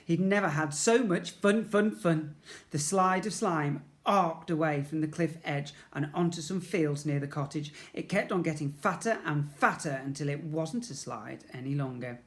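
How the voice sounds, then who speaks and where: A man's voice: on the high side, normal speed. Man reading a children's story dramatically. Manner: dramatic, playful, with sound effects and emphatic repetition. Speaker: primary school teacher reading to children. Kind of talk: expressive children's storybook narration.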